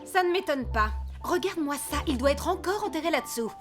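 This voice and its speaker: A woman voicing an exasperated, tidiness-obsessed mother in a cartoon, brisk and scolding. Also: high voice, expressive, music in the background. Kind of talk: French animated series dubbing.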